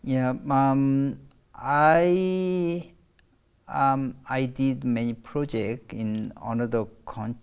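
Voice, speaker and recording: Korean-accented woman, middle-aged, very low voice, slow halting delivery, interview guest speaking in her second language. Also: fairly even intonation, darkish tone, clean tone. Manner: halting, many fillers and restarts. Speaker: artist guest. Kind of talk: interview in English.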